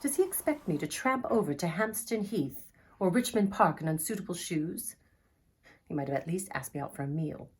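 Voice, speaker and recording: A woman's voice: on the low side, lively intonation, normal speed, close to an everyday voice. A woman playing a peevish, offended young woman with a curt, indignant manner in a drama reading.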